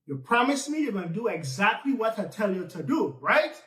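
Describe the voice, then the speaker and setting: A firm, urgent Caribbean man, as a storyteller puts him on, calling out in a raised voice. The sound is bright, echoey and a little distant from the mic. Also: mid-range voice, big pitch swings, fairly quick. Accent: Caribbean English. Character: sensible older brother figure, Bro Tukuma.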